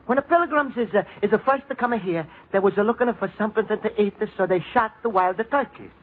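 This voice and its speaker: An actor playing a cheerful, naive Italian immigrant in a 1940s radio comedy, speaking earnest broken English with an Italian accent. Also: male voice, high voice, a little fast. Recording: tinny, noisy 1940s radio recording.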